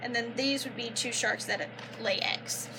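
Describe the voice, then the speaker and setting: A young woman reading a children's picture book aloud like a teacher, pausing to explain and prompt with questions. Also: a little high, background noise. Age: young adult. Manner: instructive, prompting listeners with questions and affirmations.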